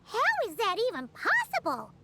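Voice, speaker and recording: An actress playing a tiny, excitable, childlike fairy companion in a game, squeaky and full of exclaimed questions. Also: female voice, normal speed, full character voice. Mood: confused. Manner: exclaiming, questioning, names herself in third person. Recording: loud noise behind voice.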